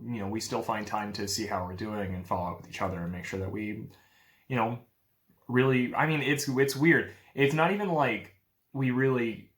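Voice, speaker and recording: A man's voice: on the low side, a bit bright. A young man talking casually to camera in a vlog, upbeat and rambling, with many fillers. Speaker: real estate agent vlogger.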